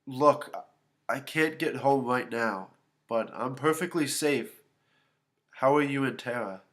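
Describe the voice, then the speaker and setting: A man voicing a loving, worried father in a narrated story, trying to sound brave, tender and halting. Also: medium pitch, fairly bright tone. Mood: forced calm.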